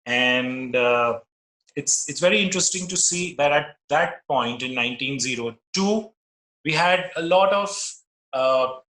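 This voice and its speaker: A man with an Indian English accent giving an online lecture as a musicologist, explaining in a measured way with frequent pauses. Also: mid-range voice, animated, a bit bright, reverberant, rough recording. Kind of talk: illustrated slide lecture.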